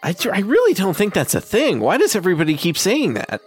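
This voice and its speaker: A man's voice: sits high, huge pitch swings, a little fast, breathy edge, faint music behind, pitched above the performer's own voice, heavily acted. A man voicing an easygoing teenage boy who talks hesitantly and casually.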